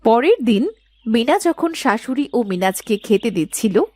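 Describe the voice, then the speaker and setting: High-voiced woman narrating a story. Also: big pitch swings, a little slow, a little mellow, dry recording.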